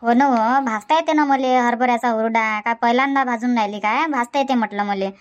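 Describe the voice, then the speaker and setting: A woman voicing a chatty, sharp-tongued village housewife in a dubbed cartoon comedy, scolding and complaining. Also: a little high, fairly quick, muffled recording, lightly acted.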